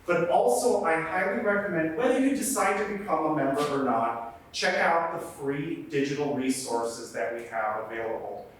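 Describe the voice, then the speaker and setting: A man's voice: fairly high pitch, moderate pace, a little hiss or hum. A man who directs programs, giving a chatty, joking introduction at a library event. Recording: very echoey room, from far off.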